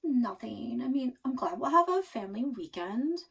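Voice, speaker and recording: A woman's voice: on the high side, big pitch swings, moderate pace, creaky, a bit bright, slightly rough, recorded from a distance, pitched above the performer's own voice, exaggerated voice. A woman voicing a scheming, dramatic teenage girl with a wheedling, falsely resigned manner in a storybook. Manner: theatrical, casual teen phrasing.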